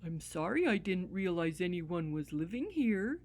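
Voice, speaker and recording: Woman playing a cheerful chatty female dog, bubbly, soft, storybook character, slow-paced. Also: on the low side, sing-song, heavily acted.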